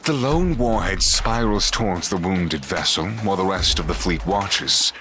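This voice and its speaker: A man's voice: deep voice, normal speed, noisy background. A man narrating a sci-fi audio drama in a scripted, dramatic storytelling style.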